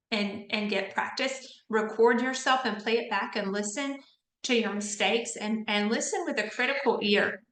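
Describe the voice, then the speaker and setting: A woman's voice: on the high side, a bit bright, echoey room, rough recording. A woman, tutoring a group online in a chatty, informal way, often checking in with "right?". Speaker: test-prep tutor. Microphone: computer mic on a video call.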